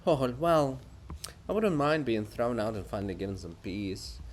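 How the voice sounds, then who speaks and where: A man voicing a weary, sardonic monument in a dramatic stage reading, speaking in a measured, dry, cutting way. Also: medium pitch, big pitch swings, voice pushed down. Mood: dry, resigned. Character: weary, sardonic Hohol monument, blunt and coolly scolding.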